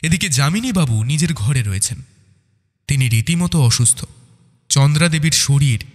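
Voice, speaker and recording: A man narrating a scripted first-person detective story. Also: on the high side. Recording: long reverb on the voice.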